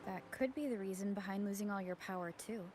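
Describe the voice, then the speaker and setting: A woman playing a calm, level-headed young heroine in a video game, speaking matter-of-factly. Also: medium pitch, quick pace, noisy background, natural voice. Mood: reassuring, reasoning. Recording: game music behind the voice.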